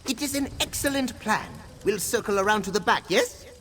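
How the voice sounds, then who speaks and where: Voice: mid-range voice, lively intonation, a little fast, a bit bright, a little hiss or hum, natural voice. An actor voicing a bickering, dramatically indignant cat-folk sneak in a video game, with a theatrical, needling delivery. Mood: self-satisfied. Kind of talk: video game character dialogue.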